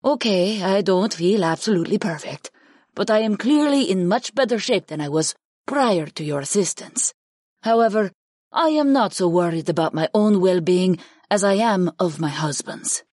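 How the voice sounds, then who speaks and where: A woman's voice: medium pitch, expressive, moderate pace, a little mellow, breathy edge, no room sound, clean studio sound. A woman voicing a wary, earnest young female hawk shapeshifter in an audiobook, with careful formal phrasing. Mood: worried, pleading. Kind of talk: audiobook character dialogue.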